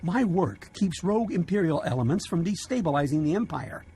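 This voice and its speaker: A man's voice: on the high side, very animated, fairly quick, warm tone, husky, exaggerated voice. A man playing a cornered, self-important Imperial double agent in a video game, urgent and defensive. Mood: defensive, insistent.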